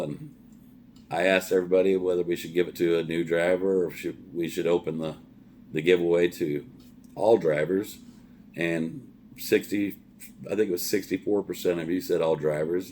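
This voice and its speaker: A man's voice: deep voice, darkish tone, a little hiss or hum. A middle-aged man, a trucker, chatting casually through a product review in a plain-spoken, folksy way, often repeating words as he goes. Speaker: trucker reviewing gear for followers.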